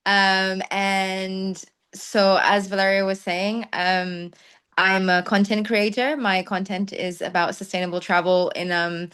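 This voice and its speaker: A woman's voice: mid-range voice, little pitch movement, a little slow, a bit bright, clear, resonant tone. A young woman who grew up in the Netherlands, a travel content creator answering interview questions thoughtfully, with many ums and light laughs. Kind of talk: conversational interview.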